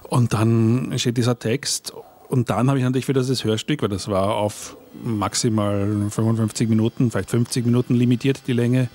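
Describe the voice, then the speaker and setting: A man's voice: mid-range voice, steady tone, brisk, a little mellow. Hesitant man thinking aloud. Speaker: guest, radio play and book author. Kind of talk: conversational panel talk. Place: at a live panel event.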